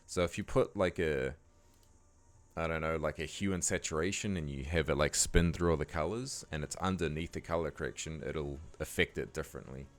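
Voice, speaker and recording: A man with a croaky voice giving a laid-back, casual editing tutorial, talking loosely with lots of fillers. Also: extremely low pitch, a little fast. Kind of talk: casual screen-recording tutorial voice-over.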